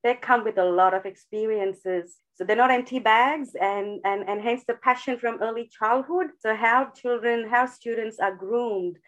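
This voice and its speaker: A woman's voice: on the high side, normal speed, hardly any pauses, a bit bright, dull audio. A woman educator from India, thoughtfully answering podcast interview questions with frequent restarts. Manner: thoughtful, many restarts and fillers. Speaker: guest educator.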